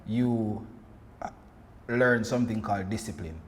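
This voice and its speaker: A man's voice: fairly low pitch, measured pace. A Jamaican man chatting casually and telling anecdotes as a performer on a podcast. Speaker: pantomime performer, podcast guest. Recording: faint background noise.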